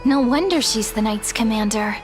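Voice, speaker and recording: An actress voicing a candid, curious young female traveling companion in a fantasy game, with a frank and expressive manner. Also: fairly high pitch, moderate pace, soft background music, lightly acted. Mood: relaxed, curious. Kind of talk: scripted fantasy game dialogue.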